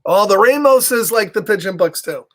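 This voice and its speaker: A man's voice: sits high, expressive, normal speed. A middle-aged man telling a children's story, with casual chatty asides and a playful, encouraging, simple way of talking.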